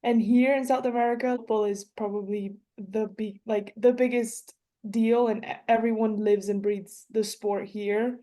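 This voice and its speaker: A woman's voice: medium pitch, dark tone. A woman in her twenties from Colombia, a professional footballer chatting as a podcast guest, with lots of fillers and restarts. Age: 20s. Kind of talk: casual interview chat.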